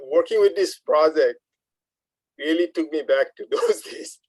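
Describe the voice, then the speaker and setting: Higher-voiced man fondly reminiscing. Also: a little slow, a little mellow, no echo. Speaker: radio project participant. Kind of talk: reminiscing in casual discussion. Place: video call.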